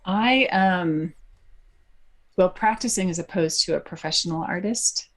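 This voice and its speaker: A middle-aged woman from New Jersey, a podcast guest speaking thoughtfully with many pauses and fillers. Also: on the low side. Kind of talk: conversational interview.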